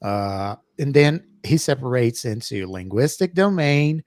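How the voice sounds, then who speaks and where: A man's voice: medium pitch, expressive, unhurried, a little mellow, no room sound. Brazilian man, dry close sound. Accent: Brazilian Portuguese. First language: Portuguese. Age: middle-aged. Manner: hesitant, many fillers and restarts. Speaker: co-host, professor. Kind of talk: casual educational podcast chat.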